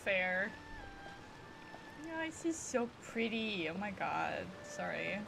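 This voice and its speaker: A woman's voice: medium pitch, big pitch swings, slow pace, gravelly, background noise. A woman casually commenting on her game playthrough in a playful, giggly manner. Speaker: streamer. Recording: game music behind the voice. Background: game music.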